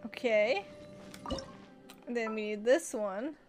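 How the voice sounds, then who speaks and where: A woman giving casual, expressive, exclamatory reactions as she plays a video game. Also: a little high, takes it slowly, music in the background. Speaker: gaming streamer.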